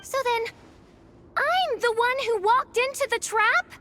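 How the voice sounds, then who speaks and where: A woman's voice: very high voice, animated, slow pace, noisy background, exaggerated voice. A woman voicing a grandiose young princess in a video game, speaking in pompous, archaic, dramatic phrases and commanding her servants. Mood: wary. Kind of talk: video game character acting.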